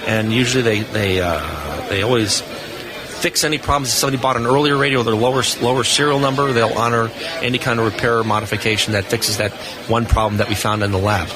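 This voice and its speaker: A man's voice: medium pitch, fairly even intonation, fast talker, a crowd in the background. A man giving unscripted, explanatory answers as a technical guest in a live interview. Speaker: radio equipment test engineer, interview guest. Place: booth on a convention hall floor. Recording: noisy busy convention floor background.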